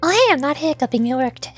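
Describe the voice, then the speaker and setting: A woman's voice: high-pitched, big pitch swings, a little fast, soft background music. A woman streamer chatting casually and ramblingly about games, often repeating words as she goes. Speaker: streamer and VTuber.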